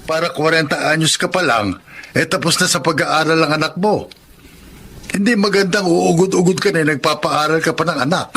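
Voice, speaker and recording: A middle-aged Filipino father in a radio drama, nosy but caring. His folksy, advising voice is forceful and bright, pitched a little high. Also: fairly quick, breathy edge. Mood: prodding, folksy.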